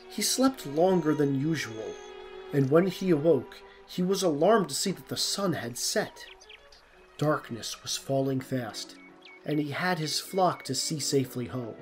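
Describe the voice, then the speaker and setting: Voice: medium pitch, lively intonation, a little slow. A narrator reading a scripted storybook tale. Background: plucked string music.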